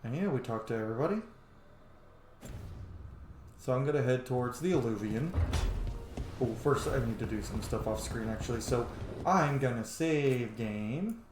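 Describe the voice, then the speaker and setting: A man's voice: mid-range voice, lively intonation, moderate pace, long pauses, darkish tone, slight background noise. A man hosting a video game playthrough, commenting informally, swearing and thinking aloud. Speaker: gaming video host. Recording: roomy echo, game music behind.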